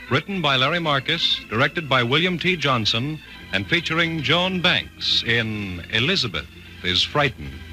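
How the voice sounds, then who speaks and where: A man's voice: mid-range voice, low-quality recording. An American man announcing a 1940s radio thriller in a portentous, theatrical style. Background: loud organ music.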